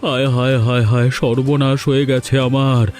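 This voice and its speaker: A fretful old village headman wails about his stolen money, voiced by a man in a cartoon dub. His voice sits at an ordinary male pitch and he exclaims in panic. Soft background music runs beneath him. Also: little pitch movement, normal speed, natural voice. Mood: alarmed. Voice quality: exclaiming, wailing over his loss. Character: older rich village headman, fretful and vengeful. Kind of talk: cartoon dubbing.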